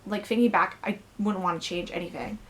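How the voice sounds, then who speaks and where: A woman's voice: mid-range voice, fairly even intonation, brisk, low-quality recording. A young woman vlogging from her bedroom, talking candidly and earnestly with lots of fillers in a personal rant.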